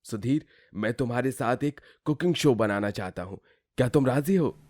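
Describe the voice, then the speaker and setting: A man's voice: mid-range voice, lively intonation, normal speed, a little mellow, dry recording, clean studio sound, lightly acted. A man playing a grand, effusive master chef who judges a contest, speaking emphatically in a story drama. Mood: admiring. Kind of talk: dramatized Hindi moral story.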